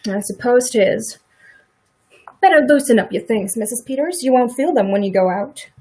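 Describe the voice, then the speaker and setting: A woman in a radio play acting a middle-aged farm wife who is sympathetic, quietly indignant and plain-spoken in a folksy rural way. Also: a little high, animated, normal speed, husky.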